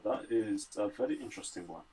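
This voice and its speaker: A man's voice: lowish voice, lively intonation, fast, mellow, slightly rough, low-quality recording. A Scottish man who studies medicine, casually answering health and fitness questions on a livestream Q&A. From Scotland.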